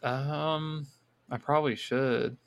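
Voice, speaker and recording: A man's voice: mid-range voice, fairly even intonation, slow, vocal fry, dull audio. A man hosting a casual podcast chat, speaking encouragingly and often repeating his phrases. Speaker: podcast host.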